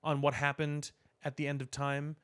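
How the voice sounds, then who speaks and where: A man co-hosting a podcast, talking casually about pop culture in wordy, measured phrasing. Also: a little high, monotone, a bit bright, smooth. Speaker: podcast co-host.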